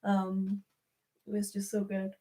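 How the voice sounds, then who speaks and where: A woman's voice: mid-range voice, monotone, slow pace, warm tone. A young woman with a Glaswegian Scottish accent, co-hosting a livestream in casual chat and laughing often. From Scotland. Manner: chatty, laughs often, breaks into acted-out quotes. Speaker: livestream co-host.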